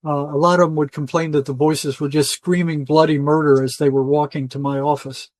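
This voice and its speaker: Older man, higher-pitched, telling stories. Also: little pitch movement, a little fast, a little mellow, dry recording. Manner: rambling, colloquial, acts out quoted dialogue. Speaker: interview guest, retired psychotherapist. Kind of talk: conversational storytelling, casual interview.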